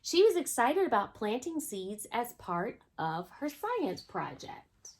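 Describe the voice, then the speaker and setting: A woman's voice: on the high side, sing-song, slow pace, a little hiss or hum. A woman hosting a children's storytime, reading a picture book aloud expressively and speaking directly to young listeners. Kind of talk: storytime read-aloud with asides to young viewers.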